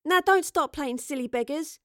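Woman as a feisty, high-voiced British girl. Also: expressive, normal speed, dry recording, clean studio sound. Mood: urgent, insistent. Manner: defiant, blunt, colloquial. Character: feisty, suspicious, blunt young working-class girl. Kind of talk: audio drama character acting.

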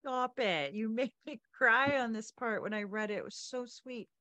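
A woman's voice: a little high, normal speed, bright, forceful tone, no echo. A woman hosting a storytelling podcast, chatting casually about the story and introducing readings. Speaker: podcast host.

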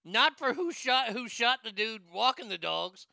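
A man's voice: very high-pitched, animated, a little slow, creaky, sharp tone, no room sound, clean studio sound. A man giving comedic news commentary, sarcastic and profane, who often breaks into laughter. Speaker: commentary host.

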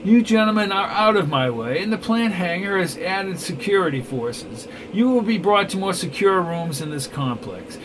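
A man's voice: high voice, noisy background, pitched above the performer's own voice. A man voicing a cold, scheming male villain who speaks with clipped, imperious menace.

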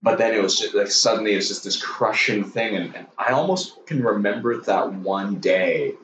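A man in his twenties from Hong Kong, a podcast guest talking haltingly with many restarts and jokes. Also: fairly low pitch, a bit bright, slight background noise. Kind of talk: casual interview chat. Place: home room with a dog. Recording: roomy, distant, dull sound.

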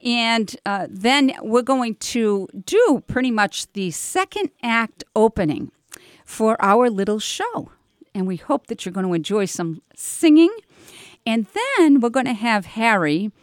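A woman's voice: on the high side, big pitch swings, a little slow, dry recording, clean studio sound. A woman hosting a live community radio show in an informal, rambling, effusive way, ad-libbing as she goes.

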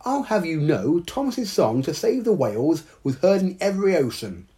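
A man's voice: fairly high pitch, big pitch swings, moderate pace, mellow, pitched above the performer's own voice. A man voicing a cheeky, boastful male talking duck in a storybook reading. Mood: blustering. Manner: blustering, boastful.

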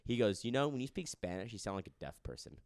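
Fast-talking deep-voiced man telling stories. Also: sing-song, darkish tone, dry recording, studio quality. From Arizona. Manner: storytelling, acts out quoted people. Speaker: podcast host and stand-up comedian. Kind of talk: casual podcast chat.

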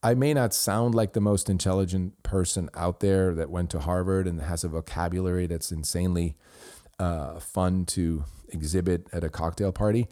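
A man's voice: low voice, fairly even intonation, normal speed, warm tone. A middle-aged man from Switzerland, a native Swiss German speaker, hosting a podcast and earnestly answering a listener's review, rambling with restarts. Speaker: podcast host.

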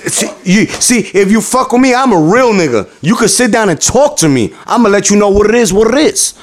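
Brash New guy hosting a podcast, foul-mouthed teasing, voice sits on the higher side. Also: fairly quick. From New York. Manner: loud, profane, teasing, jumps in pitch when excited. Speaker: podcast host. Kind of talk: crude casual banter.

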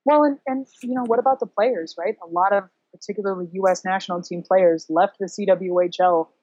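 A woman's voice: medium pitch, a little fast, darkish tone, slightly rough, dry recording, highs cut off. A woman co-hosting a podcast, musing casually and a little wryly about sports. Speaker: podcast co-host.

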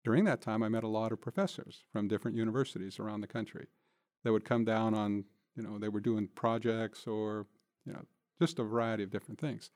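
A man's voice: lowish voice, level tone, fast, darkish tone, no room sound. An older man answering interview questions as a guest in a conversational, reflective and plain-spoken way, recorded in a studio. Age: older, nearing retirement. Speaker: interview guest, city manager.

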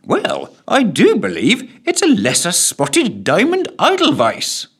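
A man's voice: high-pitched, huge pitch swings, slow pace, a bit bright, breathy edge, voice pushed up, full character voice. A man voicing a pompous, easily flustered male defence secretary in a storybook reading. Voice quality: blustering, flustered.

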